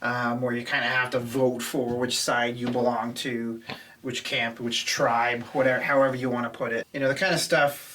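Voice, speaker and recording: A man's voice: medium pitch, flat delivery, normal speed, bright, forceful tone, distant mic, a little hiss or hum. A man co-hosting a casual gaming podcast, trading dry quips and restarting his sentences as he explains. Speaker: podcast co-host.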